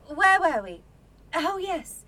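An actress playing a guarded, blunt young student in a radio drama, speaking in a clipped, defensive way. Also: female voice, very high-pitched, sing-song, extremely slow, pushed and bright, full character voice. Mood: wry, curious. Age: young adult.

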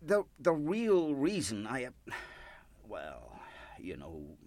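An actor playing a folksy, chatty older American churchgoer in a radio play, effusive and rambling. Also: male voice, mid-range voice, huge pitch swings, slow, a little mellow, no echo, heavily acted. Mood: rambling, sheepish. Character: folksy, gushing, chatty old American carpet salesman churchgoer.

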